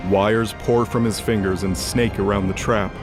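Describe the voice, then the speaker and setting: A man's voice: fairly low pitch, fairly even intonation, normal speed. A man giving scripted dramatic narration as the narrator of an audio drama. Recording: music under the voice.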